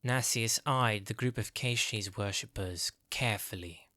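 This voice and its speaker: A man's voice: fairly low pitch, measured pace, sharp tone, dry recording. Dry-recorded man narrating fantasy.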